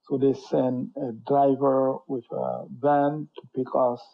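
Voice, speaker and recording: A middle-aged man from Cameroon, a professor and interview guest, telling his story in a measured way, full of "you know". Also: mid-range voice, flat delivery, gravelly. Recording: dull lo-fi sound.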